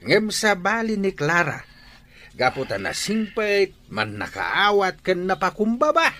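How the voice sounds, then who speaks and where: A man's voice: high-pitched, wildly swooping pitch, unhurried, bright, forceful tone, heavily acted. A man playing an earnest older father in an Ilocano radio drama, admonishing and advising with an Ilocano Filipino accent. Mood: reproachful, pleading. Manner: admonishing, emphatic.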